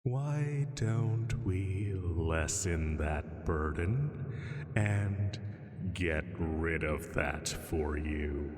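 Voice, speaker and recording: A man voicing an ancient dragon in an audio roleplay, menacing and smug, taunting his prey with deliberate, mock-pitying words. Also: low voice, lively intonation, darkish tone, faint music behind, heavily acted. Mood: mocking, condescending. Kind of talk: slow, intimate scripted roleplay monologue. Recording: cavernous long reverb.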